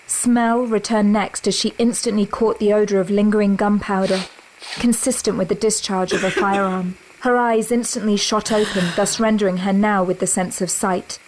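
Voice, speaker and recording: A woman reading scripted audio drama narration in a measured, precise manner. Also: mid-range voice, darkish tone, rough recording. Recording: clear background noise.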